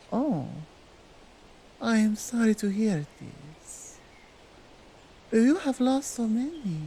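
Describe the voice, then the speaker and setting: Woman playing a seductive nordic krampus lady, asmr roleplay, slow n mellow, dominant but tender, bit of background noise. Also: lowish voice, rough recording. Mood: hesitant, explaining, then sympathetic. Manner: halting, deliberate speech in foreign-sounding broken English. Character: dominant, tender, seductive female Krampus, otherworldly gift-bringer.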